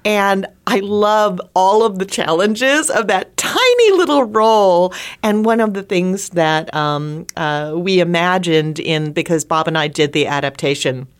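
Female interview guest, theater writer, low pitch, unhurried delivery, expressive conversational storyteller. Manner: expressive, acts out lines while storytelling.

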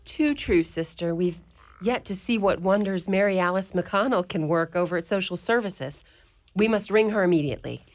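A woman playing an outspoken elderly mother superior of a convent in a community radio play, talking brisk and firm. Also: fairly low pitch, close to an everyday voice. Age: older. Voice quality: brisk, firm and preachy, with ready laughs. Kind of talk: community radio drama acting.

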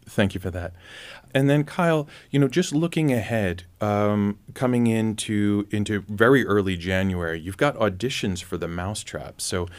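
A man with a low-pitched voice hosts an interview. He speaks conversationally, as a presenter guiding his guests. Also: normal speed. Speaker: radio interview host.

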